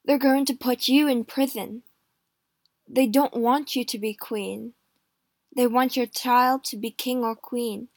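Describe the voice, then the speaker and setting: A frightened, weak young husband who pleads and whines through tears, as a woman reader puts him on. The voice rides high and strains with fear, spoken slowly and close to a dry microphone with no room around it. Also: fairly even intonation, darkish tone, dry recording. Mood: frightened pleading. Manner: pleading, tearful. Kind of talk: audiobook reading.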